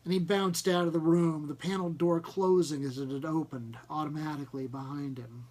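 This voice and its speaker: A man's voice: a little high, steady tone, fairly quick, a little mellow. A man narrating a first-person short story, reading it aloud. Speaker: story reader.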